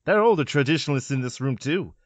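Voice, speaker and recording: Man playing a preachy older politician, swooping pitch. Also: lively intonation, fast, dry recording, natural voice. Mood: disapproving. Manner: preachy, disapproving. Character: sanctimonious older traditionalist male parliamentarian. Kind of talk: audio drama acting.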